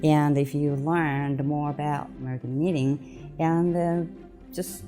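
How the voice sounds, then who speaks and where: A woman with a Japanese accent, whose first language is Japanese, speaking halting English as a guest on an online call. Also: sits low, steady tone, dark tone, faint music behind. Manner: halting non-native English, short agreeing replies. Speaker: interview guest, technical editor and translator.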